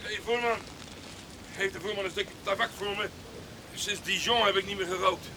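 An actor playing a homeless tramp on the road in a radio drama, garrulous and wheedling. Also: male voice, high voice, steady tone, moderate pace, natural voice. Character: chatty homeless tramp begging tobacco on the road. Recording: noisy 1980s radio drama with outdoor ambience.

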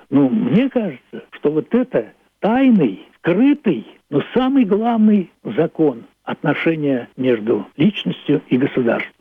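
Elderly male, reflective radio monologue, very lively intonation, emphatic repetitions, dry narrow-band sound. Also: a little high, slightly rough, no room sound. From Russia, Leningrad. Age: older, 80s. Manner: deliberate, philosophical, emphatic phrasing with repetitions. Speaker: playwright. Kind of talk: reflective radio monologue about own play and history. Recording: thin phone-like band-limited sound.

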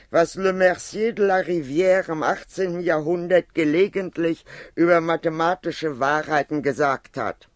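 A man with a somewhat high voice delivers a philosophical lecture from a written text. His phrasing is measured and precise, with deliberate emphasis. The sound is dry and close, with little room around it. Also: fairly bright tone, no room sound. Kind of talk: formal philosophical lecture, read from a prepared text.

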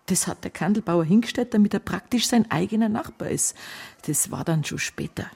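Secretive bavarian housewife in a radio play, hushed n evasive, really husky with creaky bits. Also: female voice, mid-range voice, animated, a little fast, full character voice. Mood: hurried, resigned. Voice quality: husky, breathy. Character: evasive, secretive small-town Bavarian wife, gossipy. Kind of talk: radio drama acting.

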